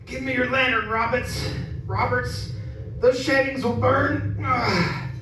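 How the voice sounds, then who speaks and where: A man's voice: very high voice, slow pace. An actor playing a desperate father out to save his daughter, urgent and melodramatic in an old-time radio drama style. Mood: grim, strained. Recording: very echoey, distant, noisy room.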